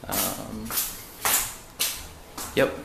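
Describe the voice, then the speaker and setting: Slow, creaky young man, low-key. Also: little pitch movement, noisy background. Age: young adult. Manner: low-key, halting, understated. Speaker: exchange student giving a tour. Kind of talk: casual handheld vlog tour. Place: indoors in a student dormitory, walking through rooms. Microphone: handheld camera.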